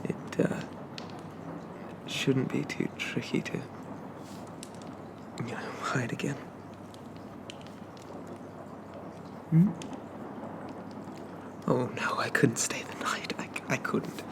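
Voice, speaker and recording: A man playing a shy, polite undead zombie in a soft ASMR roleplay, stammering and hesitant in a gently formal way. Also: mid-range voice, slow pace, creaky, long pauses. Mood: grateful, bashful.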